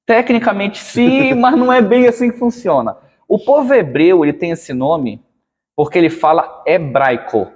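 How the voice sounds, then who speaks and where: A man's voice: sits high, normal speed, a little mellow, breathy edge. A Brazilian man with a Brazilian Portuguese accent, a guest teacher patiently explaining history with examples on a podcast. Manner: patient, didactic, uses examples. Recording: long reverberant room sound.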